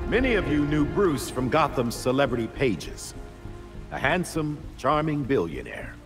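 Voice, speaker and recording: An actor playing a dignified older uncle in a game, giving a solemn eulogy in a formal, oratorical manner. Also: male voice, medium pitch, big pitch swings, a little slow, music in the background. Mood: solemn, reverent. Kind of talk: cinematic game acting, public speech.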